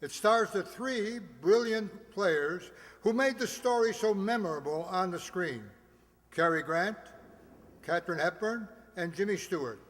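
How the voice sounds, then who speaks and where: A man's voice: high-pitched, measured pace, a bit bright. A man playing a polished, formal 1940s radio announcer, reading grand scripted narration. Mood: grand, promotional. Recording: echoey hall with long reverb.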